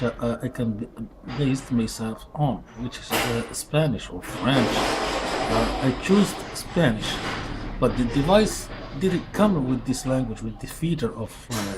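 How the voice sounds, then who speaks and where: A man whose first language is Arabic, speaking in English with many fillers and restarts, talking casually about a tool in a workshop. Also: mid-range voice. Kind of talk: casual product review vlog. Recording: noisy background.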